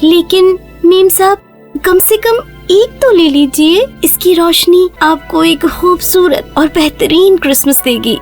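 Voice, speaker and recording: Very high-pitched, earnest little girl. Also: faint music behind. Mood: polite, persuading. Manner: polite, pleading, earnest. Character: poor eight-year-old orphan girl, earnest, polite, pleading. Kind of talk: children's cartoon story acting.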